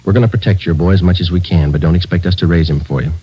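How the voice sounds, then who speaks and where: Stern detective: deep, fast, clipped, unemotional, dull vintage radio sound. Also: male voice, breathy edge. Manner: deadpan, clipped, matter-of-fact. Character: terse, stern, no-nonsense male police detective. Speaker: lead actor and narrator. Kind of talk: 1940s radio crime drama acting and narration. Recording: muffled old 1940s radio recording.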